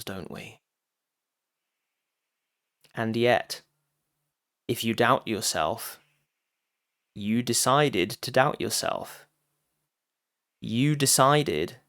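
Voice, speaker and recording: A man giving a guided meditation talk as a teacher, phrasing deliberately with frequent pauses and addressing listeners directly. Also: on the low side, vocal fry, fairly bright tone, no echo, clean studio sound.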